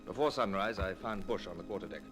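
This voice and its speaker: A man playing a calm, wry British naval commander in an old-time radio drama, speaking in a crisp, formal, literary way. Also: low voice, fast talker, music in the background, close to an everyday voice. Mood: grave narration.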